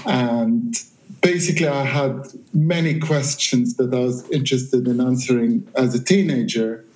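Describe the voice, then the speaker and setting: Hesitant Lebanese-born man, measured answers. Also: mid-range voice, darkish tone, low-quality recording. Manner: hesitant, measured, many restarts. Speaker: academic guest. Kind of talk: remote interview, thoughtful answers. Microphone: remote line.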